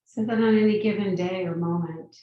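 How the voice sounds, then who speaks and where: A woman guiding a meditation as a teacher, giving gentle, unhurried instructions with long pauses. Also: mid-range voice, highs cut off. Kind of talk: guided meditation. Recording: very echoey room, distant mic.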